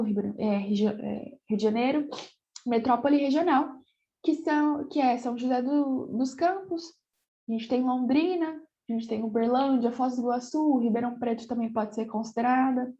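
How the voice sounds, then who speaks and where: A woman's voice: a little high, mellow. Woman teacher with a cold, muffled audio. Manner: hesitant, repeats words, frequent "né?". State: slightly hoarse from a cold. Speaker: geography teacher. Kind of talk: online class lecture.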